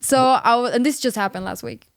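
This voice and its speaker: A woman's voice: fairly high pitch, quick pace, gravelly, no room sound, clean studio sound. A woman in her twenties, from Peru, a singer and worship leader talking in an earnest, upbeat way, with lots of "like"s, as an interview guest. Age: 20s. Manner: earnest, upbeat, filler-heavy with many "like"s. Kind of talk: casual interview chat.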